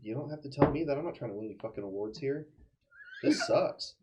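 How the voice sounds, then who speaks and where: A man's voice: mid-range voice, expressive, fast talker, darkish tone. A man playing a tabletop game, chatting casually and speaking for his character. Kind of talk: casual chat, in-character play. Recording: muffled, with clear background noise.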